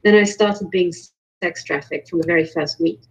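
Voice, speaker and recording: A woman's voice: fairly low pitch, normal speed, breathy edge. Woman on a muffled call. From Romania. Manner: earnest, repeats words when starting sentences. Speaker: panel guest. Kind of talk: video call roundtable discussion. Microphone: video call microphone.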